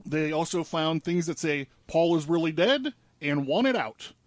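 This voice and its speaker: A man's voice: on the high side, lively intonation, a little slow. A man presenting a case in casual studio talk, in an informal, rambling and sweary way. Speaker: presenter laying out a conspiracy theory.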